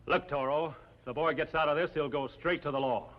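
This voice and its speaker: An actor playing a ruthless, ambitious outlaw in a 1950s television western, with an American accent and blunt, taunting talk. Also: male voice, fairly high pitch, steady tone, a little fast, close to an everyday voice. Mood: defiant, threatening. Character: ruthless male outlaw gunman, defiant, ambitious, bullying. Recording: muffled old TV soundtrack.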